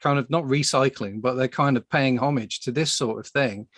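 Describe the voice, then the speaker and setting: A man's voice: medium pitch, fairly bright tone, no room sound. A man hosting a casual film discussion, often repeating words as he works out his point. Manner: halting, repeating words as he goes. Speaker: podcast host.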